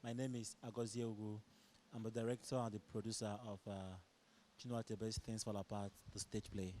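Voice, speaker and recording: Low-voiced Nigerian man over background noise. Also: little pitch movement, fairly quick, darkish tone. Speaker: stage director and producer. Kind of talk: interview answers. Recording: clear background noise, event ambience.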